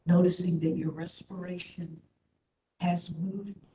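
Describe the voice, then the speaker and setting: A woman leading a guided meditation as an instructor, speaking in a soothing, gentle, unhurried manner. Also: fairly low pitch, little pitch movement, slight background noise. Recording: echoey room, slightly dull sound.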